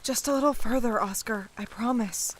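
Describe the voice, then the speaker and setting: Casting: actress for protective young woman on the run, higher voice, agitated yet caring, fantasy audio drama, slightly noisy recording. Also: moderate pace, lightly acted. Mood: urgent, reassuring.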